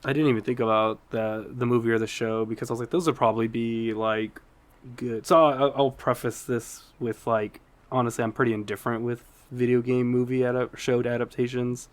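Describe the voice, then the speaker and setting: A man's voice: mid-range voice, little pitch movement, fairly quick, no echo. Casual man chatting as podcast co-host. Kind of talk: casual chat about video game movies.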